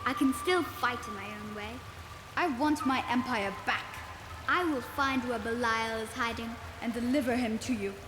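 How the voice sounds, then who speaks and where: An actress playing a deposed, proud royal in a fantasy game, earnest and insistent. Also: female voice, fairly high pitch, normal speed, over music, natural voice. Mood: defiant. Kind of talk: dramatic fantasy game acting. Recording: echoey hall, rainy ambient noise.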